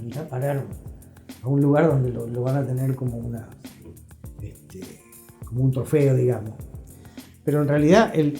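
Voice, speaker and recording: An Argentine man speaks as an interview guest with a soft, mellow tone. He explains and advises in an emphatic, conversational way, repeating phrases to drive points home. The room is audibly echoey, with music playing behind him. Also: on the high side. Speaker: interview guest, health professional. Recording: roomy echo, music behind.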